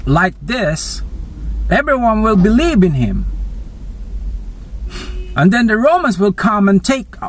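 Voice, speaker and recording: A man's voice: high-pitched, sing-song, takes it slowly, long pauses, voice pushed up, full character voice. A man voicing an alarmed, scheming council priest in a Bible story, declaiming in agitation.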